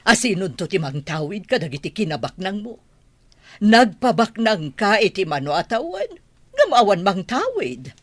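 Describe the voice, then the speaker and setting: A woman's voice: medium pitch, very animated, moderate pace, no echo. A woman with a Filipino accent playing an older mother who nags and chides her grown son in an audio drama. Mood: nagging. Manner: insistent, motherly chiding. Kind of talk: amateur audio drama acting.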